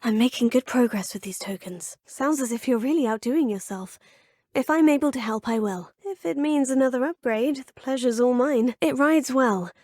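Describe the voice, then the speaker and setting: A woman's voice: high-pitched, normal speed, a little mellow, dry recording. A woman voicing a young, polite and curious student witch in a video game, speaking in a composed, formal manner. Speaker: player character. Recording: dry studio booth.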